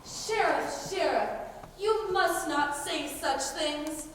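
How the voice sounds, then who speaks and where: A teenage girl playing a brisk, sanctimonious outlaw nun with a scolding manner in a school stage play. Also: sits high. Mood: pious, scolding. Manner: pious, scolding, emphatic. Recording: distant, echoey stage recording with noise.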